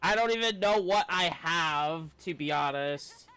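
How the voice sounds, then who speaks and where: A man's voice: fairly high pitch, measured pace, sharp tone, smooth, no room sound. A man giving casual live-stream commentary while playing a video game. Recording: faint game music behind. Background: game audio with music.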